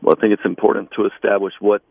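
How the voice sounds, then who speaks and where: A man speaking as an interviewed basketball coach, conversational and measured, with halting pauses. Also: on the low side, little pitch movement, gravelly, breathy edge, no room sound, phone-quality sound.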